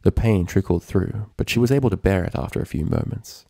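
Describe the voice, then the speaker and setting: A man's voice: sits low, brisk, gravelly, dark tone, dry recording, studio quality. A man reading a story as scripted narration, in the manner of an audiobook reader. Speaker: fan fiction reader.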